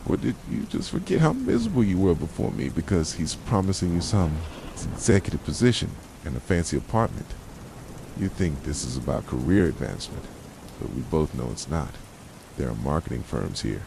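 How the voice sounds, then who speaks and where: A man playing a possessive, jealous boyfriend in an ASMR roleplay, speaking softly in an intense, accusing, dramatic way. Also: extremely low pitch, gravelly, full character voice. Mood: pleading, bitter. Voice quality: soft voice. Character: possessive, jealous yandere boyfriend confronting his partner. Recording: rain and thunder noise behind. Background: rain and thunderstorm ambience.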